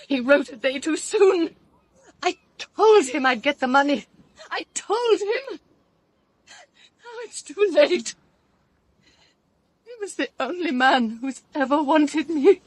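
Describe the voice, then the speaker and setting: A woman's voice: high-pitched, dry recording. An actress with a British accent playing a bitter, lonely, jilted woman in an audio drama. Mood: anguished. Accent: British English. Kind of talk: full-cast audio drama acting.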